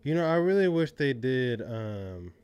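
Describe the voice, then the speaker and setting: A man's voice: medium pitch, very animated, measured pace, a little mellow, clean tone. A man co-hosting a podcast, speaking in casual, informal chat. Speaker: podcast co-host.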